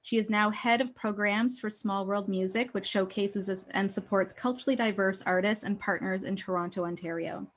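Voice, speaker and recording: A woman's voice: medium pitch, moderate pace, hardly any pauses. Woman hosting and introducing a speaker. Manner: friendly, apologetic, somewhat flustered. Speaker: event host. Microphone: video call.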